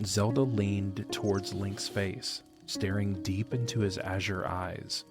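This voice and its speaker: Male narrator, lowish pitch, scripted storytelling, audio drama, clear music bed. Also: fairly even intonation, slow.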